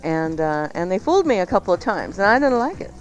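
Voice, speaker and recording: Low-voiced woman bantering on radio. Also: sing-song, fast, highs cut off. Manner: playful, informal, bantering. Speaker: cooking show co-host. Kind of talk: casual radio chat. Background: music under the talk.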